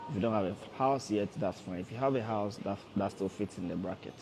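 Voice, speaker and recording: Low-voiced man coaching a livestream audience. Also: quick pace, a little mellow, soft background music. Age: 30s. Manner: repetitive, coaxing, addresses viewers directly. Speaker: forex trader hosting a livestream. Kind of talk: casual motivational livestream talk.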